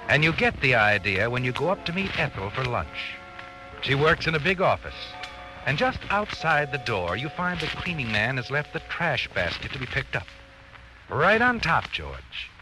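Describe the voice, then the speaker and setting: A man's voice: medium pitch, lightly acted, rough recording. An actor playing a sardonic narrator in an old-time radio crime drama, needling a guilty man in a theatrical, insinuating way. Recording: old radio recording with music behind.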